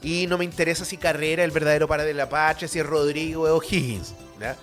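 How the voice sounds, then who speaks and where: A man's voice: a little high, steady tone, fast talker, fairly bright tone, faint music behind. A man with a Chilean accent hosting a radio history show, lecturing informally in a blunt, opinionated, colloquial way full of rhetorical and tag questions.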